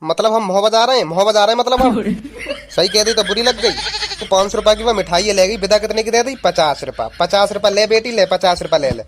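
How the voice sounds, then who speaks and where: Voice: medium pitch, a little fast, noisy background, natural voice. A performer voicing a sarcastic, stubborn husband who nags in a comic dialect cartoon. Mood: sarcastic, angry. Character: sarcastic, nagging husband and father, stubborn and petty.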